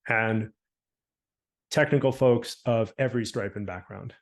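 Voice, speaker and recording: A man from the program staff presenting slides on a video call, listing names at a steady clip. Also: medium pitch. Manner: brisk listing, slowing on names.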